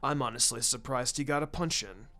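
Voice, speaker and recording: A man's voice: mid-range voice, fairly quick, sharp tone, pitched below the performer's own voice. A man voicing a wise old male village leader in a story reading, coy and knowing. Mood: amused.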